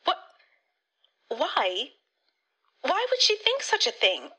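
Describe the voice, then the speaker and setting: A high, swooping voice of a pushy female agent, put on by a woman reading the story. She talks slowly and sounds bright and sharp, insistent and condescending. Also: huge pitch swings, heavily acted. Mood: incredulous.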